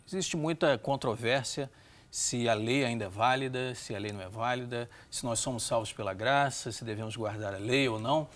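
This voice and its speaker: A man with a Brazilian Portuguese accent hosting a Bible discussion in a measured, didactic way, often seeking agreement. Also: medium pitch, fairly bright tone. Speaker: host of a religious programme.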